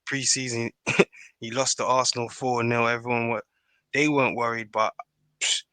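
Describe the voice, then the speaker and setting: Bright, forceful man, informal. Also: medium pitch, level tone, normal speed, no echo. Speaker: podcast panellist. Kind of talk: casual football discussion.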